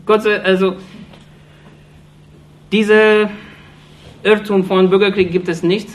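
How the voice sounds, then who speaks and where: A man from Iraq gives an impassioned political speech in halting German, restarting phrases often and sounding earnest and accusatory. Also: high voice, little pitch movement. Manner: halting non-native German, frequent restarts and fillers. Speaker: speaker addressing an audience.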